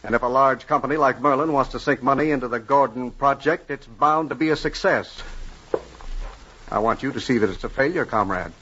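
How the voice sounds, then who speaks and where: An actor playing a sly, domineering Communist boss with a commanding, deliberate manner in a 1950s radio drama. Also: male voice, mid-range voice, sharp tone, a little hiss or hum, close to an everyday voice. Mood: insistent, scheming. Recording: dull lo-fi 1950s radio broadcast.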